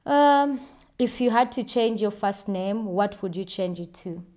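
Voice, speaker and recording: A woman's voice: medium pitch, lively intonation, a little slow, a little mellow. A woman with a Ugandan accent, chatting casually and self-assuredly as she answers viewer questions in a vlog. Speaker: vlogger.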